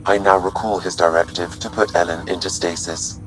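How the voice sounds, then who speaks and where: An actor playing a calm, dutiful artificial intelligence in a video game, precise, formal and composed. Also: male voice, low voice, fairly quick, music in the background, natural voice, poor audio. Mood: calm, informative. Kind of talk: video game dramatic acting.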